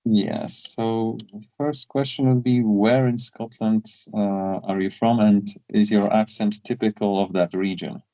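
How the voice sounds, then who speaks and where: A male interviewer asks his questions slowly and hesitantly, pausing on fillers. His voice sits somewhat low and is mellow and dark. The sound is dry and close. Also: steady tone, no echo, poor audio.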